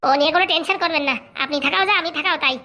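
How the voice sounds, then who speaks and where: A woman's voice: very high voice, sharp tone, full character voice. A woman voicing a cunning, boastful young male political fixer in a comic cartoon, brash and bossy, with a rural Bengali accent. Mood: smug, cocky. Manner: brash, bossy, fast-talking.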